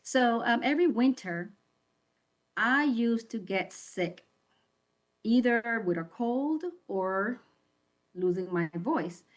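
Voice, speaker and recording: A woman's voice: on the high side, expressive, takes it slowly, long pauses. A woman sharing a personal health testimony in a candid, reflective storytelling manner.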